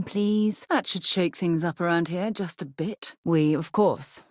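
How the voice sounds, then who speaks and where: A composed British woman explorer from a sci-fi video game. Her voice sits on the low side and is recorded very dry, with no room around it. She speaks in an articulate, measured way, earnest and caring. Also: darkish tone, husky, no echo. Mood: wry. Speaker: video game companion character. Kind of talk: acted video game dialogue.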